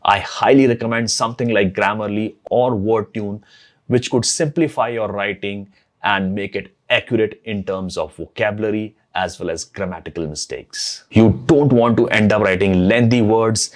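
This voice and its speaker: A man's voice: on the low side, moderate pace. A man whose first language is not English, talking casually to camera as host of a blogging channel and repeating phrases as he thinks aloud. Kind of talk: casual tutorial talk to camera.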